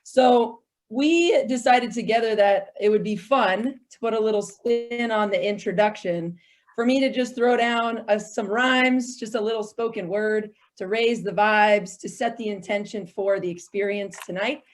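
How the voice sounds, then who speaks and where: A woman's voice: mid-range voice, measured pace. A woman on a video call who chats cheerfully, laughs often and recites a short rhymed spoken-word piece. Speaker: panelist and spoken-word performer. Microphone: home computer mic on a video call.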